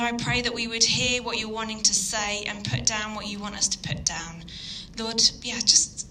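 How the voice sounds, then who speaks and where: Yorkshire woman giving a sermon, earnest, lots of ums, really bright sharp voice. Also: mid-range voice, slight background noise, poor audio. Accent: Yorkshire English. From Yorkshire, England. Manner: earnest, hesitant with frequent ums. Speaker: guest speaker. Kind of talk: evening sermon.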